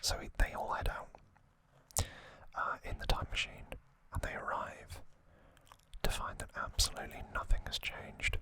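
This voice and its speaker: An ASMR host narrating in a breathy, unvoiced whisper, slow and unhurried, close to the microphone. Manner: slow, unhurried, gently repeats words for effect. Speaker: host of an ASMR trivia channel. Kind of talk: whispered ASMR narration, summarizing an anime saga.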